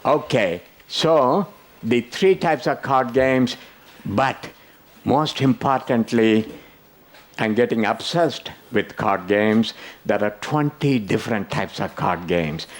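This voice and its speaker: Slow, joking older man presenting a workshop. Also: fairly low pitch. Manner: joking, teasing the audience. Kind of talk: interactive conference workshop talk.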